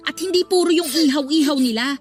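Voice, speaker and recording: A woman's voice: high-pitched, brisk, soft background music, lightly acted. A woman playing a caring best friend. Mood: indignant. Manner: reassuring, encouraging. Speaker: radio drama actor. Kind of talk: Tagalog radio drama acting.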